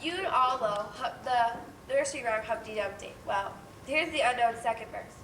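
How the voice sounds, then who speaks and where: An earnest, high-pitched female news reporter from a storybook world, played by a stage actress. She delivers everything like a mock bulletin. She is picked up from a distance in an echoey space with faint noise. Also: normal speed, a bit bright. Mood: reciting.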